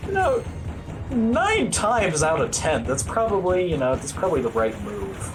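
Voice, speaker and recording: A man's voice: sits high, wildly swooping pitch, takes it slowly, over music, rough recording. A man giving casual, wry, self-deprecating live commentary as he plays through a video game as a speedrunner. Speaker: speedrunner commentating on own play.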